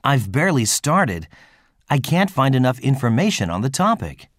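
A man voicing students and a professor in scripted dialogues for a listening exam, with a clear, friendly delivery. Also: mid-range voice, sing-song, normal speed, no echo, studio quality.

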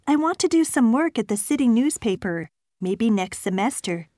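A woman voicing students, a professor and an office clerk in scripted exam dialogues, with a clear, polite delivery. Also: a little high, big pitch swings, moderate pace, a little mellow, no echo, studio quality.

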